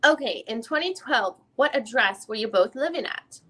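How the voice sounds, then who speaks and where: Bright, high-voiced woman reading as a female lawyer. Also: animated, moderate pace, husky. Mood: probing. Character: female defense lawyer questioning a witness in a deposition. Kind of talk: dramatic reading of a legal deposition transcript.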